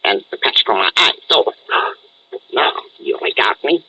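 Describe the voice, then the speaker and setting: A man's voice: mid-range voice, animated, unhurried, pushed and bright, breathy edge, heavily acted, poor audio. A man playing an imperious, fanatical German scientist villain in a 1940s-style radio serial, with a German accent and a commanding, contemptuous manner. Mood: imperious, sly. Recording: old radio broadcast recording.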